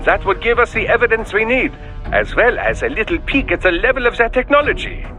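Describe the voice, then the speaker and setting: An actor playing a clever, eager technical adviser in a video game, precise and articulate. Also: male voice, high voice, big pitch swings, fairly quick, bright, forceful tone, soft background music, full character voice. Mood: helpful, curious. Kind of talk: video game cutscene acting.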